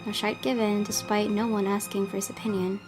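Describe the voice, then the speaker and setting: A woman's voice: mid-range voice, little pitch movement, a little fast, darkish tone. A woman reading a visual novel aloud as a gameplay host, in a storytelling narration style. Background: guitar music.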